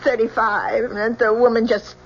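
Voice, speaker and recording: An actress playing an anxious, pleading young bride-to-be, breathless and emotional, in old-time radio drama style. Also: female voice, a little high, little pitch movement, moderate pace, natural voice. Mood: uneasy, pleading. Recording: dull old radio recording, faint noise.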